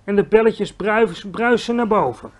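A man voicing a big friendly male giant in a storybook reading, excitable, loud and drawn out, with muddled grammar. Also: high-pitched, pitched above the performer's own voice. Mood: lecturing. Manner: mangled grammar, loud exclamations, drawn-out words.

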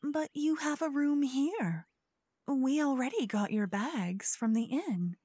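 A woman's voice: sits high, expressive, slow pace, warm tone, dry recording, pitched above the performer's own voice. A woman playing a pushy suitor from a wealthy family in a fantasy audio roleplay, coaxing and over-attentive with false concern. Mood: falsely concerned.

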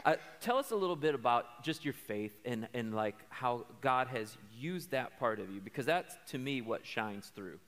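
A man with a bright, forceful voice and a very lively melody hosts a casual stage interview. He is playful and informal, and his voice echoes in a large hall. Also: medium pitch, normal speed, hardly any pauses. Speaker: host, pastor. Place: stage in a large indoor auditorium. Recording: reverberant hall, some background noise.

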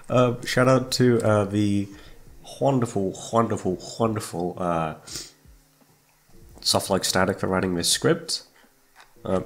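Rambling, sweary young man chatting casually. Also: mid-range voice. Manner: rambling, sweary, self-deprecating, giggly. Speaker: audio roleplay voice actor. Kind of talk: casual unscripted chat to listeners.

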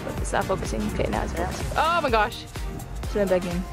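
A woman's voice: medium pitch, lively intonation, quick pace, music in the background. Chatty teen girl vlogging. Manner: chatty, giggly, upbeat. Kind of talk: casual vlog chat. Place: resort hotel room and grounds. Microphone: camera held at arm's length.

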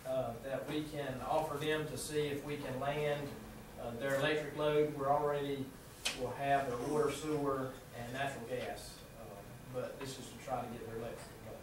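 A man with a Southern American accent presenting a proposal to a town council, halting and full of fillers, recorded from across a small meeting room. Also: medium pitch, flat delivery. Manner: halting, many fillers and restarts. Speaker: city utility official presenting to council. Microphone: far room camera mic. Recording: echoey room from far off, background noise.

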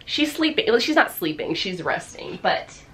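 A woman in her thirties chatting to a desk camera in her home office, her voice bright and forward. She sounds slightly hoarse, and she talks in an upbeat, rambling way with lots of fillers. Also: mid-range voice, sing-song, normal speed, a little hiss or hum. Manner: chatty, upbeat, many fillers and little laughs. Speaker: vlogger. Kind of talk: casual vlog chat. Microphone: camera on the desk facing her.

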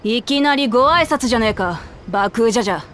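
A woman voicing a brash, hot-headed but friendly young lion-woman princess in a game, with rough boyish speech. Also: on the high side, lively intonation, brisk, fairly bright tone, a little hiss or hum. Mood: annoyed. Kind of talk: video game cutscene acting.

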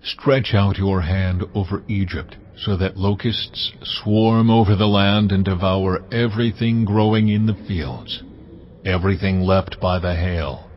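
God, deep and commanding. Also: male voice, lo-fi sound, slight background noise. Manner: solemn, slow, commanding. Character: God speaking, deep commanding male deity.

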